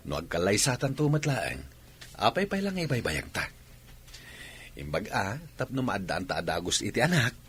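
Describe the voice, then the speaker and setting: An actor playing an eager suitor in an Ilocano radio drama, with an Ilocano accent. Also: male voice, on the low side, very animated, normal speed, a bit bright, husky, heavily acted. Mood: pleading, earnest. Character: eager suitor pressing his bride to marry and have children.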